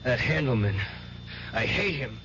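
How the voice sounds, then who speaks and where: An actor playing an earnest, hesitant, devout young stage mind reader in a 1970s radio drama. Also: male voice, medium pitch, lively intonation, unhurried, over music, lightly acted. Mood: troubled. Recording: dull, noisy 1970s AM radio broadcast.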